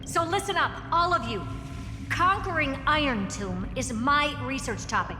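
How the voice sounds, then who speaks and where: A woman's voice: sits high, expressive, normal speed. An actress voicing a confident, haughty young genius woman in a video game, with self-assured, commanding delivery. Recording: echoey hall reverb over music.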